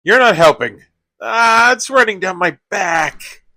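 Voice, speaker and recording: Man as a bright-voiced, exasperated young sidekick. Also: fairly high pitch, big pitch swings, normal speed, slight background noise. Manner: whiny, sarcastic complaining. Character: exasperated, sarcastic young sidekick dragged along reluctantly. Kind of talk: comic radio play acting.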